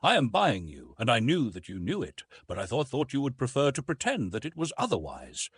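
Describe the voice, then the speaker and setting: A man's voice: medium pitch, wildly swooping pitch, a little fast, slightly rough, dry recording, exaggerated voice. A man voicing a cynical, blunt businessman suitor with a condescending, domineering manner in an audiobook. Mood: cynical, smug.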